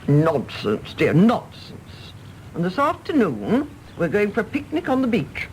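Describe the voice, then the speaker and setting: A woman's voice: medium pitch, wildly swooping pitch, a little mellow, a little hiss or hum. An older woman with an English accent playing a bossy, fussing mother of a grown son in a radio drama, imperious and chiding. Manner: imperious, chiding, brisk with endearments. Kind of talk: stage-play radio drama acting.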